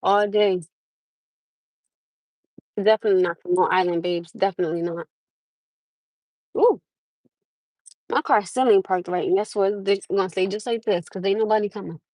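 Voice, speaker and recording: A woman's voice: mid-range voice, steady tone, normal speed, pauses often, darkish tone, dry recording, rough recording. A woman from the United States chatting live with her viewers from her parked car on a phone camera, laughing often and calling them "my love.". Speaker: livestream host. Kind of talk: casual live chat with viewers.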